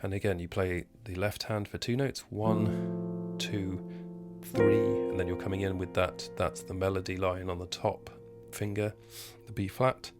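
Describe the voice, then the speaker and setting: A hoarse man hosting a casual piano tutorial at home, talking in a relaxed, halting way and counting beats aloud. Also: low voice. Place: at home, indoors. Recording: piano playing behind the voice.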